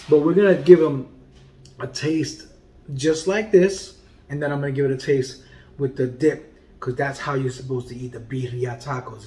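Casting note: playful man in his forties hosting a home food vlog, slightly high voice, roomy sound. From Puerto Rican heritage. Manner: animated, playful, drops to quiet murmurs while tasting. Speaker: food vlogger and comedian host. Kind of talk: casual food review vlog. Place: home dining room indoors. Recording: echoey room.

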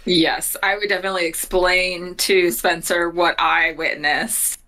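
A woman's voice: lowish voice, steady tone, a little slow, bright, forceful tone. A young woman, talking casually as a player in a tabletop game. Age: young adult. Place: home office room, on a video call.

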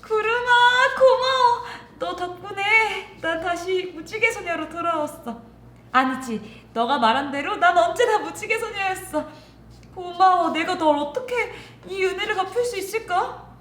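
A woman's voice: extremely high pitch, lively intonation, unhurried, a bit bright, pitched above the performer's own voice, full character voice. A woman voicing an emotional, tender young rainbow girl who doubts herself, in a children's storytelling. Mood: grateful, moved. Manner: plaintive, emotional, exclaiming. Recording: roomy echo, music underneath.

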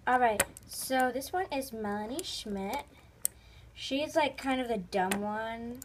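High-pitched woman, noisy phone recording. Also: expressive, slow. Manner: rambling, informal, trailing off. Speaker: host of a toy video channel. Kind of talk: casual vlog narration. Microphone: phone held near the speaker.

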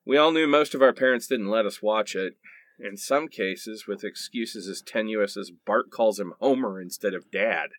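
A man's voice: lowish voice, moderate pace, fairly bright tone, no room sound, lightly acted. A man playing a sarcastic, exasperated teenage boy in a comic radio play. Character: sarcastic teenage boy in nineties sitcom parody.

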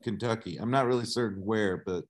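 A man's voice: on the low side, a little fast. A middle-aged man from the southern United States, a guest on a video call, telling stories in a laid-back way and swearing casually. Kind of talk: casual interview storytelling.

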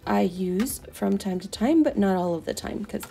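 Chatty woman with a gravelly voice. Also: mid-range voice, lively intonation, moderate pace, dark tone, faint music behind. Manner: chatty, rambling with many fillers. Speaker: doll artist vlogger. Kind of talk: casual voice-over narration. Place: home craft room.

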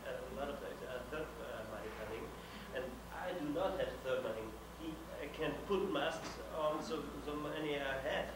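A man's voice: fairly high pitch, normal speed, few pauses. Hesitant man speaking from the audience. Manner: hesitant, searching for words, many uh fillers. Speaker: conference participant. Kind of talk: discussion remark. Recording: echoey room from far off, very noisy.

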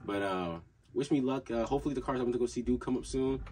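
A man's voice: mid-range voice, fast talker, darkish tone, a little hiss or hum. A young man hosting a casual car vlog from a small room at home, rambling in a laid-back way with lots of fillers and restarts. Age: young adult. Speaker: car channel host. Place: small home room with desk and gaming setup.

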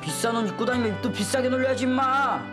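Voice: mid-range voice, fast, natural voice. A performer playing a skeptical, blunt schoolboy in a children's TV drama, with a doubting manner. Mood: gruff. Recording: loud music behind.

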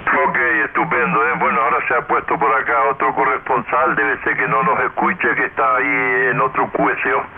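A man's voice: medium pitch, completely flat delivery, fairly quick, creaky, few pauses. A man chats effusively as an amateur radio operator over a two-way radio, often ending phrases with tag questions. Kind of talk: CB radio contact chat. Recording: noisy narrowband radio transmission with static.

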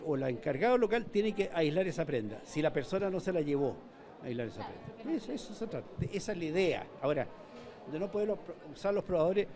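Older Chilean man, halting and mellow. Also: fairly high pitch. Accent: Chilean Spanish. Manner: halting, many restarts and repeated words. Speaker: mall manager. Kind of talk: news interview. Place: indoor shopping mall corridor. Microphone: reporter's handheld mic.

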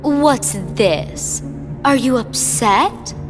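An actress playing a cruel, short-tempered young villainess in a video game, mocking and haughty. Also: female voice, high-pitched, expressive, extremely slow, music in the background, exaggerated voice. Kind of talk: video game cutscene acting.

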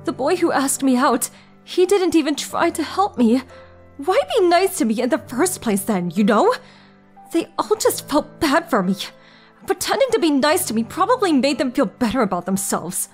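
A woman playing a guarded, gentle, melancholy young woman in an anime dub, soft-spoken and hesitant. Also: high voice, big pitch swings, normal speed, faint music behind. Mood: melancholy confiding. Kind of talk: anime manga dub acting and narration.

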